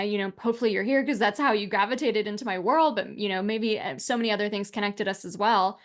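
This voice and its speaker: A woman in her thirties gives an online class as a coach, talking in a chatty, upbeat way and often dropping "like" and "right?". Her pitch sits at an ordinary middle height for a woman. She speaks from a small room into a webcam and desk mic on a video call. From United States. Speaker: alcohol-free coach teaching an online class. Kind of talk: casual spoken class presentation over slides.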